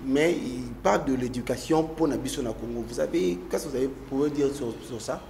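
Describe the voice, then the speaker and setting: A man's voice: medium pitch, brisk, vocal fry, a little mellow, a little hiss or hum. Hesitant male talk show host. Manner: hesitant, many fillers and restarts. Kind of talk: live talk show hosting, greetings.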